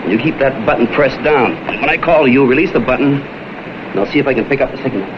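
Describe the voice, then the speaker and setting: A man's voice: medium pitch, a little fast, close to an everyday voice. A man playing a purposeful amateur detective in a vintage TV crime drama, matter-of-fact and instructive. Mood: instructive, determined. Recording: dull, noisy vintage TV soundtrack.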